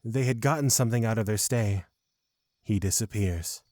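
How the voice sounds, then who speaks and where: A man's voice: fairly low pitch, normal speed, darkish tone, no room sound, studio quality. A man narrating a scripted fantasy audio drama.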